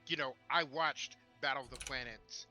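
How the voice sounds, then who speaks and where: A man co-hosting a casual chat in a halting way, often repeating words as he starts a thought. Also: medium pitch, steady tone, bright, forceful tone, soft background music. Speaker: podcast co-host.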